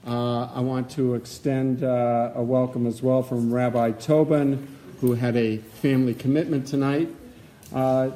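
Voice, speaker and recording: A man's voice: medium pitch, little pitch movement, measured pace, dark tone, slight background noise. A man, co-president of a synagogue, giving loose, conversational welcoming remarks in a hall.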